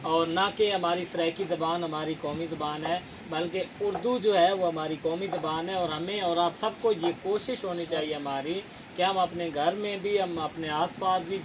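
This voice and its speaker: A man's voice: sits high, noisy background. A man from Punjab, Pakistan hosting an interview in a casual chat, speaking in an earnest, lecturing way with formal words and many fillers. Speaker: interviewer, channel host.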